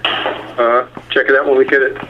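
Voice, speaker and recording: A man's voice: mid-range voice, little pitch movement, normal speed. A man leading a formal business conference call. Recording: noisy, dull conference phone line.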